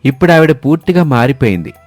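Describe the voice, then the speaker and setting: A man's voice: medium pitch, animated, fast talker, a little mellow, no room sound. A man narrating a scripted moral story as its storyteller. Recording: dry studio, music behind.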